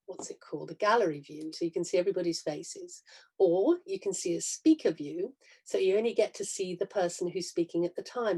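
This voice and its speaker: A woman gives an informal, encouraging welcome on a video call. Her voice is relaxed and slightly husky, and she talks in a chatty way as the host of an amateur event. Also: medium pitch, low-quality recording. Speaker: director and announcer of amateur reading. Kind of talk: informal welcome to online audience.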